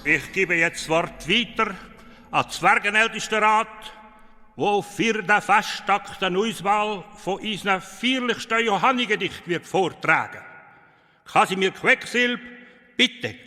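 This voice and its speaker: An actor with a Swiss German accent playing a pompous, solemn old dwarf elder giving a ceremonial speech in a children's radio play. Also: male voice, high-pitched, lively intonation, a little slow, pushed and bright, heavily acted. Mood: solemn, proud. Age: older. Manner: solemn, declamatory, proud. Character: pompous bearded dwarf elder opening a festival. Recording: long cavernous hall reverb.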